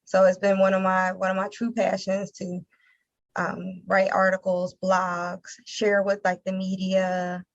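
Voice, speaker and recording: An American woman from Philadelphia answering interview questions conversationally, haltingly repeating words as she thinks. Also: mid-range voice, completely flat delivery, muffled recording. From Philadelphia, United States. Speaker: interview guest, nonprofit communications director.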